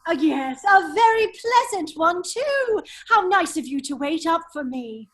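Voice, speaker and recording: A woman's voice: very high-pitched, very animated, a little slow, fairly bright tone, heavily acted. A woman playing a foppish, cunning nobleman in an amateur radio play, with a teasing, hesitant drawl. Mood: amused. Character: foppish, laughing English nobleman, a cunning hero in disguise. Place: remote virtual table read.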